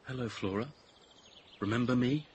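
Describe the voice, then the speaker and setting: A man's voice: medium pitch, moderate pace, a little mellow, slightly rough, muffled recording. An actor playing a secretive, emotional young man with a tender, obsessive streak, in radio drama style.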